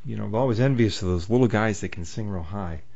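Male host, rather low voice, chatty and rambling, dull muffled sound. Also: fast talker, vocal fry, a little mellow. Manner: chatty, rambling, with many fillers. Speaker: interview host. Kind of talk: casual interview chat. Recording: muffled lo-fi audio.

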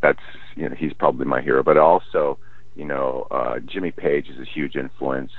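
Very deep-voiced man on a phone line. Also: moderate pace, breathy edge, dry recording. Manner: relaxed, thoughtful, with frequent restarts. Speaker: musician guest. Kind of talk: casual interview chat. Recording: tinny phone line, dry.

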